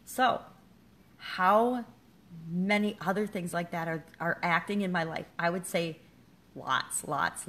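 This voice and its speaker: A woman's voice: lowish voice, pushed and bright. Middle-aged woman, business-coach vlogger, candid and self-deprecating, conversational. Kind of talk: casual motivational talk to camera.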